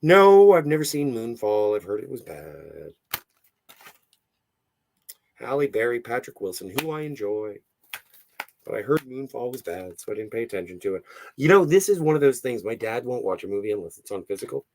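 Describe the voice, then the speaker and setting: Male streamer, hoarse with gravelly fry, animated intonation, casual and digressive, reading chat comments aloud. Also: mid-range voice, normal speed. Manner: rambling, digressive, easily sidetracked. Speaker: livestream host.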